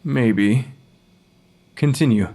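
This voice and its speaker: Forensic pathologist, middle-pitched, calm, clinical, matter-of-fact, audio drama. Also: male voice, extremely slow, faint music behind.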